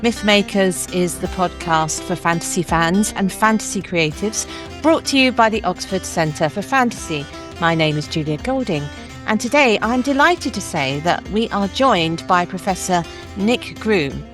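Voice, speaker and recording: A woman's voice: on the low side, animated, measured pace, music in the background. A woman hosting a podcast, introducing and chatting with her guest. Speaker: podcast host and interviewer. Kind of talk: podcast introduction and interview chat.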